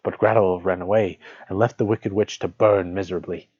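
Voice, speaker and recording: A man with a relaxed, dark voice reads and chats like a storytelling streamer. The sound is dull and somewhat echoey. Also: deep voice, fairly quick, husky. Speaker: streamer reading fiction. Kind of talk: storybook narration and casual stream chat. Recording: dull lo-fi audio, roomy echo.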